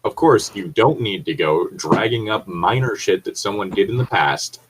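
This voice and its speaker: Blunt, husky-voiced man swearing casually. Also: lowish voice, lively intonation, moderate pace, poor audio. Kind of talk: casual opinionated chat.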